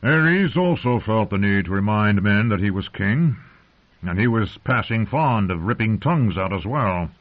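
An imperious old lord, played by a male narrator, speaks in a lowish voice with measured authority. He is a stern patriarch of a fantasy audiobook, used to command. The recording is narrow and phone-like. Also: lively intonation. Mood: stern lecturing.

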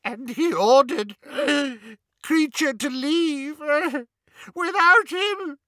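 High-pitched, sobbing old male house-elf. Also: unhurried, sharp tone, no room sound, clean studio sound, heavily acted. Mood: sobbing, anguished. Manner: refers to self in third person, moaning. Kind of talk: audiobook character acting.

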